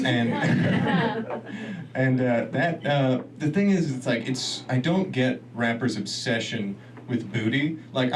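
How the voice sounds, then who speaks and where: A man doing a stand-up comedy set in a club, rambling and laughing at his own jokes. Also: mid-range voice. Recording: distant mic, noisy club room.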